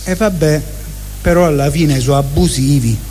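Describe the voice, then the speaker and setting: A man's voice: fairly high pitch, normal speed, warm tone, soft background music. A man reading a satirical monologue live on stage. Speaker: stage performer. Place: indoor stage at a live event. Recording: reverberant hall, faint noise.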